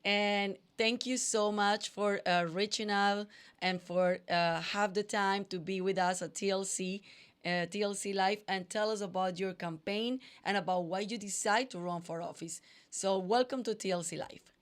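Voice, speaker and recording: Colombian-accented woman hosting a live talk show, chatty, smooth and resonant. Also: mid-range voice, fairly even intonation, normal speed. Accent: Colombian Spanish. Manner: warm chatty, non-native grammar. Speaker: show host.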